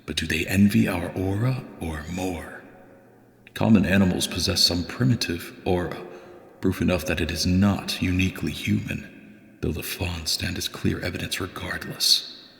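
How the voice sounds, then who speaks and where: Brooding male scholar-inventor voiced by an actor, very low and heavily creaky, poetic journal monologue with echo. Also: fairly even intonation, fairly bright tone. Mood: contemplative. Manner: measured, archaic, poetic diction. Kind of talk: theatrical dramatic monologue, fandub narration. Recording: echoey, reverberant voice-over.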